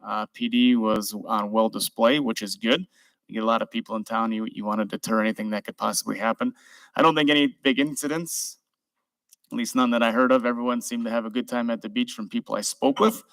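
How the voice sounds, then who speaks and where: A man's voice: medium pitch, fairly even intonation, brisk, a bit bright, no echo, low-quality recording. A man chairing a city council meeting, speaking informally and giving thanks to city staff. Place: council chamber. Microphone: desk microphone.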